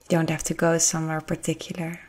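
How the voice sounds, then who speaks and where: A woman narrating a guided meditation in a gentle, soothing, unhurried way with long pauses. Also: low-pitched, completely flat delivery, breathy edge.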